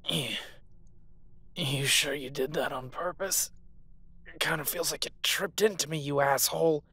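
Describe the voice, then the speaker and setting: Man acting a husky, defiant captive werewolf alpha. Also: on the high side, a little slow, pushed and bright, heavily acted. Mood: pained, irritated. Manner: profane, sardonic, urgent persuading. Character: captive male werewolf alpha soldier, defiant, pragmatic, protective. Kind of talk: audio roleplay acting.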